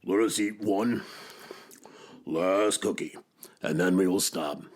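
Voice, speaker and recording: Man voicing a deep, husky, gruff storybook toad. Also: measured pace, exaggerated voice. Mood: eager. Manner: blunt, emphatic, dramatic. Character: gruff, anxious, impatient male toad from a children's storybook.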